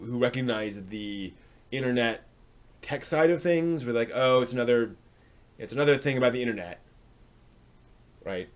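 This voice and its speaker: A man hosts a casual live stream in a mid-pitched voice. He talks off the cuff, rambling and hesitating as he thinks aloud. The delivery is conversational and unscripted. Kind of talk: casual unscripted talk to viewers.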